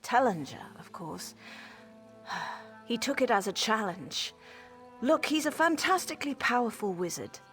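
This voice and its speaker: An actress playing an exasperated, wry mage scholar in a video game, explaining things articulately. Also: female voice, a little high, very animated, a little slow, pauses often, a bit bright, soft background music. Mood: weary, concerned. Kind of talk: conversational video game dialogue.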